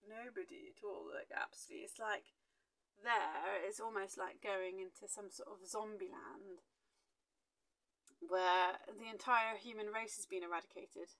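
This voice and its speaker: A woman's voice: mid-range voice, fairly even intonation, measured pace, a bit bright, no room sound, low-quality recording. Chatty woman rambling in a van. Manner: chatty and rambling, many ums and restarts. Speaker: travelling artist vlogger. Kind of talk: casual vlog chat to camera. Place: inside a camper van.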